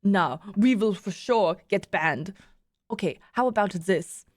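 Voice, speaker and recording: A woman's voice: a little high, expressive, unhurried, no room sound, clean studio sound. A woman voicing a joking, teasing young male streamer in an audio story.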